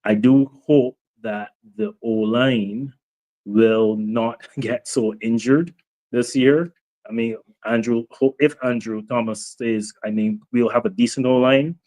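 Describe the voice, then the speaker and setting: A man with a Barbadian accent, a guest on a sports talk show, speaking in a measured, thoughtful way with some hesitations. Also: mid-range voice, steady tone, a little mellow. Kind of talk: casual sports chat.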